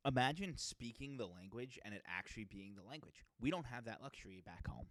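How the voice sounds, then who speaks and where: A man's voice: medium pitch, expressive, a little fast, no room sound. A young man from Massachusetts co-hosting a podcast, chatting casually with rambling, self-deprecating asides. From Massachusetts, USA. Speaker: podcast co-host. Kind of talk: casual chat.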